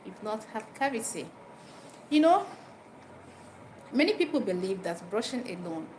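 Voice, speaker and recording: Nigerian woman livestreaming over clear background noise. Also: mid-range voice. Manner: repeats phrases and puts questions directly to listeners. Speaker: livestream host giving dental health advice. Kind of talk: informal livestream talk, chatting with viewers. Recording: noisy live stream with background ambience.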